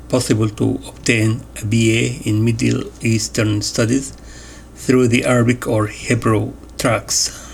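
Slow, gravelly male interview reader. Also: mid-range voice, slight background noise. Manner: measured, announces each question and answer. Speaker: voice-over reader of an interview transcript. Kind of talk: reading a translated interview aloud.

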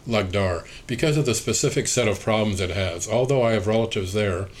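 Low-voiced man reading a transcript. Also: brisk, a little hiss or hum. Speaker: reader of an oral history interview transcript. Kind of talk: reading an interview transcript aloud, speaker labels included.

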